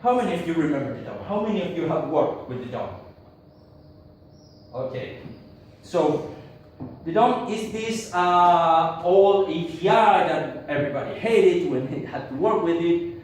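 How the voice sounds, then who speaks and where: A man's voice: a little high, expressive, slight background noise. Argentine man lecturing in an echoey hall. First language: Spanish. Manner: halting, many fillers and restarts. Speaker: conference speaker. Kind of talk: tech talk to an audience. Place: conference room. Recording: echoey hall, speaker far from mic.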